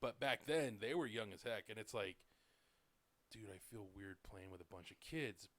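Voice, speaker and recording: A man's voice: fairly low pitch, animated, normal speed, fairly bright tone, no room sound. A man in his late thirties hosting a podcast, telling stories in a loose, rambling way full of "like" and "dude". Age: late 30s. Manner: rambling storyteller, lots of "like" and "dude". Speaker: podcast host. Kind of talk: casual podcast chat.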